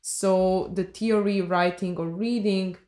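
Halting woman podcast host. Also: mid-range voice, fairly even intonation, warm tone. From Bosnia. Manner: halting, searching for words while asking questions. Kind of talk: interview conversation. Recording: echoey room.

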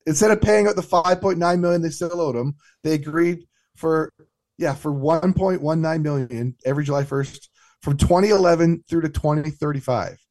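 A man's voice: on the high side, normal speed, a little mellow. A man chatting casually about sports in a wry, easygoing way.

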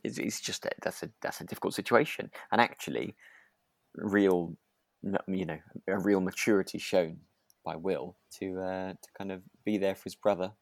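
A man with a low voice, recorded dry with little room sound. He co-hosts a relaxed conversation, feeling his way through sentences haltingly and sounding earnest. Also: gravelly, no room sound. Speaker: podcast co-host. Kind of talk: relaxed casual chat about a radio drama.

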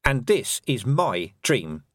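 A man's voice: mid-range voice, big pitch swings, slow, dry recording, clean studio sound. A man voicing a determined young girl witch who speaks earnestly.

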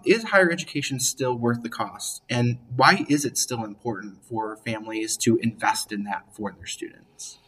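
Upbeat young man with a breathy voice. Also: medium pitch, bright, forceful tone. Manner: upbeat, filler-heavy questions. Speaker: podcast host, admissions staff. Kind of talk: casual podcast hosting. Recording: voice picked up from a distance.

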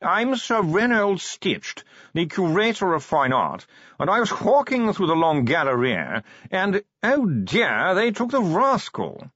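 Fussy upper-class curator voiced by a man, raised pitch, slow plaintive drawl, husky tone. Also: wildly swooping pitch, a bit bright, dry recording, full character voice. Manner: drawling, like modulated yawning. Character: posh, fussy male art curator. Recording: dull lo-fi recording.

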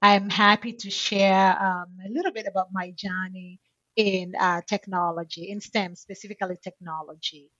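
A woman's voice: mid-range voice, steady tone, moderate pace, pushed and bright, no room sound. Hesitant woman, dull dry recording. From Tanzania. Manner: hesitant, many fillers and restarts. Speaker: podcast guest. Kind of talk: conversational interview answer.

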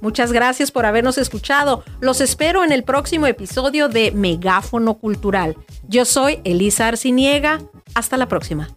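A woman's voice: a little high, lively intonation, normal speed, soft background music. A woman with a northern Mexican accent hosting a podcast, chatting casually with a guest in an effusive, encouraging manner. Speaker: podcast host interviewing a guest. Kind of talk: casual interview chat. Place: recording booth.

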